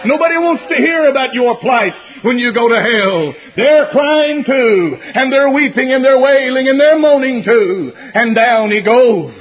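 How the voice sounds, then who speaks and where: A male preacher with a strikingly high voice delivers a fire-and-brimstone sermon. His delivery is dramatic and repetitive, stretching out phrases as he drives each warning home. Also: animated, unhurried, hardly any pauses, poor audio.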